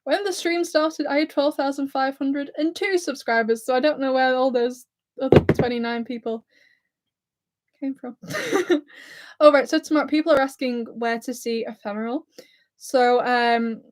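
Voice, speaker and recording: A woman's voice: high voice, normal speed. Giggly woman with a Lancashire-Scouse accent. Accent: Lancashire and Scouse mix. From England, near Blackpool. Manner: chatty, giggly and self-deprecating, nervous. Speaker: YouTuber hosting a livestream. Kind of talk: casual live-stream chat reading viewer comments.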